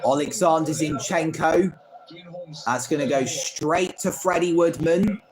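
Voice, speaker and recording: A man's voice: a little high, unhurried, crowd noise. A man hosting a live football watchalong stream, calling each pass by player name and chatting with viewers between plays. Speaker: livestream host.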